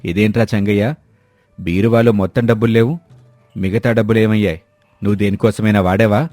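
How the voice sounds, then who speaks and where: Man acting a stern, bright-voiced village elder brother. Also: on the low side, fairly even intonation, fairly quick, no echo, faint music behind, close to an everyday voice. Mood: matter-of-fact. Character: stern, grudge-holding elder brother, village fish trader. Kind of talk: dramatised moral story dialogue.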